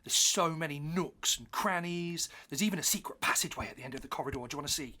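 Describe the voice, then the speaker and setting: An actor playing a cheeky, slightly wounded grown-up imaginary friend, wry and playful, in a comedy audio drama. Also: male voice, fairly high pitch, fast, sharp tone. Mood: insistent, playful.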